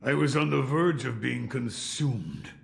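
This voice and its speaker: An actor playing a weary, gruff old hermit warrior in a game cutscene, gravelly, terse and brooding. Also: male voice, medium pitch, measured pace. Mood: wry, weary. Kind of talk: video game cutscene acting. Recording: long hall reverb.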